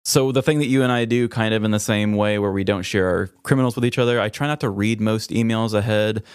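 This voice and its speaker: A man's voice: on the low side, fast talker, smooth, dry recording, studio quality. A man with an American accent co-hosts a podcast, bantering casually and telling stories. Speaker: podcast co-host.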